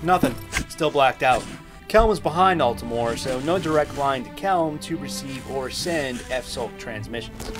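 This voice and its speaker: Male actor as terse ship's engineer, medium pitch, sci-fi audio drama with music behind. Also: unhurried, natural voice. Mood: matter-of-fact.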